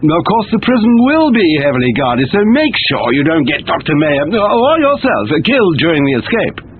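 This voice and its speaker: Radio-filtered, high-pitched military briefing officer. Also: male voice, big pitch swings, normal speed. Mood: instructive. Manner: formal, instructive, emphatic. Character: brisk male military commander giving mission briefings. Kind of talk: scripted mission briefing. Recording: thin phone-like radio sound, faint noise.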